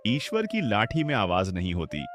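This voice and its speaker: A despairing poor farmer and father, voiced by a man in a cartoon, with a low voice that rises and falls widely as he mourns and pleads. Music plays throughout. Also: wildly swooping pitch, normal speed. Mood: lamenting. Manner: pleading, sorrowful. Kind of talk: cartoon voice acting. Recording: music behind the voice.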